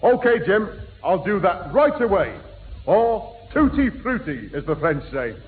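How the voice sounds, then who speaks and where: A man's voice: high-pitched, very animated, measured pace, phone-quality sound. A man playing a genial beer-loving pub regular with a Northern English accent, pompously mangling long words in a 1960s radio sitcom. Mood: helpful, comic. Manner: jolly, pompous malapropisms. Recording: thin, noisy old radio broadcast.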